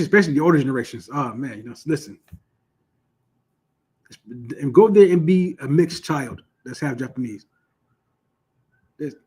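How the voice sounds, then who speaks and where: A man's voice: a little high, dark tone, slightly rough, rough recording. A man teaching an informal online Bible lesson in a conversational, emphatic way, often asking "you know what I'm saying" and repeating points for stress. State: starting to lose his voice.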